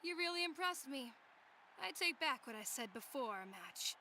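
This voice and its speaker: A woman voicing a seasoned, gruffly respectful rival fighter in an anime-style video game. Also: high-pitched, sing-song, normal speed, bright, forceful tone, slight background noise, heavily acted. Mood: grudging respect.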